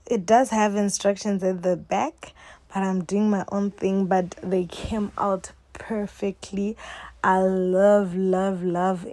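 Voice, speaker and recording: A woman's voice: mid-range voice, darkish tone, no echo. A young woman with a Zimbabwean accent hosting a casual home-baking vlog, playful and jokey, laughing often and talking straight to her viewers. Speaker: cooking vlogger.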